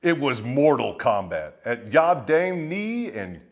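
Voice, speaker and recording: A man tells war stories as a swaggering, theatrical host. His pitch moves around a great deal as he plays up the drama, and his delivery is crude and darkly comic. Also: very animated, slow, noisy background. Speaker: host of a military storytelling show, a first sergeant. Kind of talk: dramatic scripted storytelling with profane humour.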